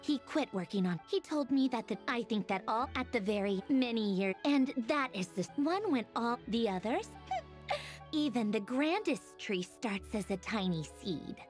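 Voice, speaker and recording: A woman's voice: fairly high pitch, big pitch swings, a little slow, faint music behind. An actress playing a haughty, witty woman inventor in a video game, guarded yet wistful, speaking in a wry, articulate way. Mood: defensive, brisk. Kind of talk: scripted video game story dialogue.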